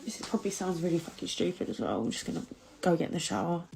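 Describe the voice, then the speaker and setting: Woman crying at home, swears a lot, gravelly creaky voice. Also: fairly low pitch, sing-song, racing, a little mellow. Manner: tearful, swears a lot, rambling. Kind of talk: casual confessional selfie video. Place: indoors at home.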